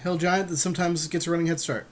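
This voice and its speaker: A man's voice: on the high side, fairly even intonation, quick pace. A man co-hosting a podcast, chatting casually and agreeably about card picks in a game draft. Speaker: podcast co-host.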